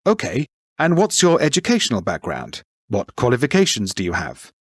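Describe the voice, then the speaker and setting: A brisk, businesslike interviewer. His pitch moves a lot as he asks each question. The sound is dry and close. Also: huge pitch swings, husky, dry recording. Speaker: interviewer filling in a form. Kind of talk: scripted textbook listening dialogue.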